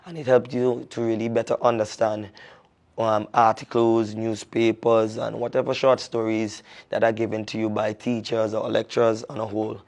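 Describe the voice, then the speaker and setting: Expressive male storyteller reading aloud. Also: on the low side, moderate pace.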